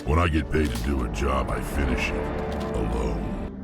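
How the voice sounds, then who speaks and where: An actor voicing a huge, gruff alien bounty hunter in a video game, gravelly, blunt and menacing. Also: male voice, heavily acted. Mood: grim, menacing. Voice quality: gravelly, rumbling. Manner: terse, blunt, slow menace. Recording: game audio under loud music.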